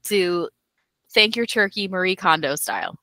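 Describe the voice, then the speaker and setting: A woman's voice: lowish voice, big pitch swings, normal speed, sharp tone, no echo. A young woman co-hosting a podcast in casual chat, playful and upbeat, laughing often. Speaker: podcast co-host.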